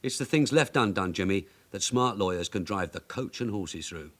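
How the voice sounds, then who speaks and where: An actor playing a shrewd, weary, middle-aged detective sergeant with a deadpan, sarcastic manner in a radio drama. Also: male voice, on the low side, normal speed. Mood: weary, bitter.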